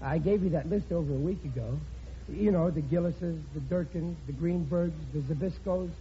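A man's voice: on the high side, normal speed, mellow, lightly acted. An actor playing a blundering, good-hearted working-class father in an old radio comedy, stammering in folksy grammar. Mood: sheepish. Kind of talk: 1940s radio comedy acting. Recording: muffled, noisy radio broadcast with studio audience.

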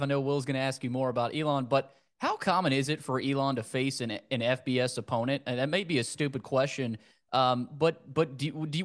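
A man hosting a sports podcast, talking informally and conversationally in a slightly rambling way. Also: mid-range voice. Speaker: podcast host.

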